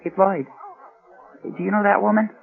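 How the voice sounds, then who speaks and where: A man's voice: sits high, fairly even intonation, normal speed, creaky. A man playing a breezy, friendly, worldly political associate, chatty and teasing in a radio drama. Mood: amused, teasing. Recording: muffled lo-fi radio recording.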